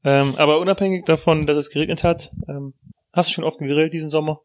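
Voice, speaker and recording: A man's voice: a little high, fairly even intonation, fast talker, dry recording. Dry, teasing man on a close mic. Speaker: podcast host. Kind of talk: casual banter.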